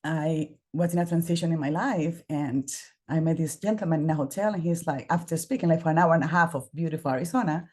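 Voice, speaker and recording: A woman speaks English over a video call. Her voice sits low and dark. She tells her story in a warm, expressive manner. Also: fast talker. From Costa Rica. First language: Spanish. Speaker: early education trainer. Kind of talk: presenter introducing herself.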